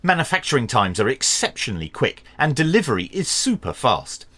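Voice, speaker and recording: A man presenting a scripted product review in a measured, dryly humorous presenter's delivery. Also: mid-range voice, big pitch swings, bright, forceful tone. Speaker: radio review channel host.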